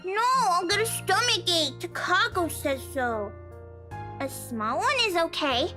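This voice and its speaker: Cheery little kid for a visual novel, super high voice, bouncy intonation, chatty, music playing behind. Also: female voice, expressive, measured pace, pushed and bright, heavily acted. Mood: bright, friendly. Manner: childlike, sing-song, earnest. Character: cheerful, innocent little girl, chatty and earnest. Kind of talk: visual novel game voice acting.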